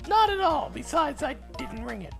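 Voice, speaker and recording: Man putting on a bright, spirited young woman detective. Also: very high-pitched, big pitch swings, moderate pace, vocal fry, soft background music, voice pushed up, exaggerated voice. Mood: indignant. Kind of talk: video game character dub in a let's play.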